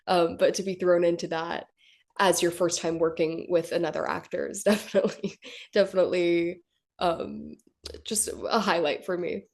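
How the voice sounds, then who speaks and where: A young actress chatting casually in an interview, with lots of likes and ums. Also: female voice, fairly low pitch, steady tone, normal speed, a little mellow. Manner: casual, chatty, filler-heavy. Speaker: actor. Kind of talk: press junket interview.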